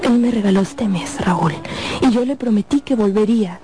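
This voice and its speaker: An actress playing a tormented, pleading young opera singer in a radio drama. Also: female voice, medium pitch, moderate pace, natural voice. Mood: desperate pleading. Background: music.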